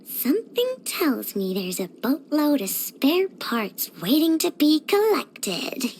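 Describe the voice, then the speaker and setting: A woman's voice: sits high, big pitch swings, takes it slowly, hardly any pauses, darkish tone, full character voice. A woman voicing a childish, playful and bossy little girl inventor with a sweet tooth, as a video game character. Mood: excited.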